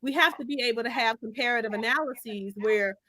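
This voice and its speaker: A woman with a raised pitch and a sharp, forceful tone speaks in an opinionated discussion. She is from Finland and presses each point with emphasis, as someone set on winning the argument. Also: brisk, no echo.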